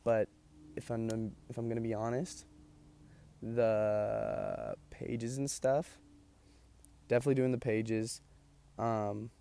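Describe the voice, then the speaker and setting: Tired, gravelly young man rambling. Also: on the low side, expressive, takes it slowly, long pauses, dark tone. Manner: rambling, loose, full of ums and likes. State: tired, losing his voice. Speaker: vlogger. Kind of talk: casual chat.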